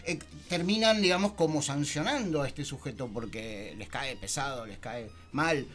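An Argentine man hosting a radio talk show, speaking informally and haltingly as he searches for words in a discussion. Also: mid-range voice, fairly bright tone. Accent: Argentine Spanish. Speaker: radio host. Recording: music under the voice. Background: music.

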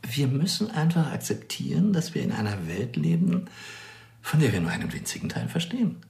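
A chatty male pathologist in a radio crime drama. He talks casually with dry humour and a philosophical bent, in a medium-pitched voice full of fry. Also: wildly swooping pitch, fairly quick, a little mellow. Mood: musing. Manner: casual and dryly jocular.

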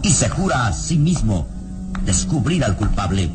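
Narrator for radio adventure: low voice, grave, deliberate, with loud music behind. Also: male voice, sing-song. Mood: solemn, suspenseful. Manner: solemn, deliberate. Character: solemn male storyteller of an adventure serial. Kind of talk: dramatic scripted radio serial narration.

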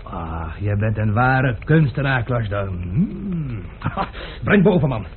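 An actor playing an imperious, hot-tempered gentleman master who gives brusque commands, in old Dutch radio drama style. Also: medium pitch, big pitch swings, takes it slowly. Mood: gruffly pleased. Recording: tinny, noisy old radio play recording.

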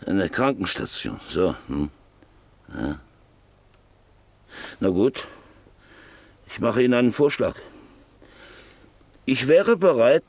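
Hard sardonic landowner in a radio play, lowish voice, dry studio sound, pushy and scornful. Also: male voice, a little mellow, no echo, full character voice. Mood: calculating, pressing. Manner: brusque, sardonic, persuasive. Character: hard, scheming landowner and father, scornful businessman. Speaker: radio drama actor. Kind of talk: German radio play acting.

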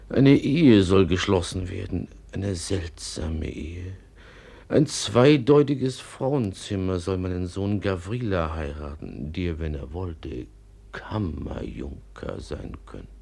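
A man's voice: deep voice, creaky, dark tone, slightly rough, exaggerated voice. An actor playing an aggrieved old father, declaiming pompously and theatrically in a 1950s German radio drama. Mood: solemn grievance. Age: older.

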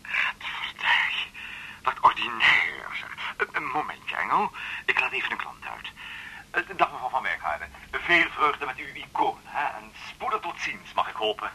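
A man's voice: medium pitch, very animated, moderate pace, bright, forceful tone, slight background noise, exaggerated voice, poor audio. A man playing a smug, vengeful blackmailing art dealer in a radio drama, teasing in a mock-sweet, sneering, theatrical way.

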